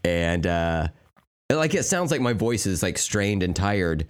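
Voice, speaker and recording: A man with a hoarse voice co-hosting a podcast, rambling and joking with lots of fillers and restarts. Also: low voice, big pitch swings, measured pace. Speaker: podcast co-host. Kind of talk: casual chat. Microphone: remote call mic.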